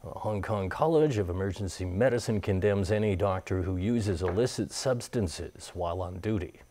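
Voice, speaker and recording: A man's voice: deep voice, normal speed, dark tone. A man reading scripted news as an anchor.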